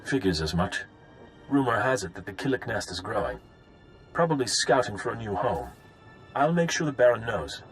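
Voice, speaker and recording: Gruff, animated house guard. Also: male voice, lowish voice, moderate pace, soft background music. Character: gruff male house guard, loyal and plain-spoken. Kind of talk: video game voice acting.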